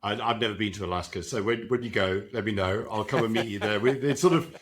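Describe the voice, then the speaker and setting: A man's voice: lowish voice, quick pace, a bit bright. A man hosting a podcast, asking questions in a friendly, casual tone with light jokes. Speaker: podcast host. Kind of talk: casual interview.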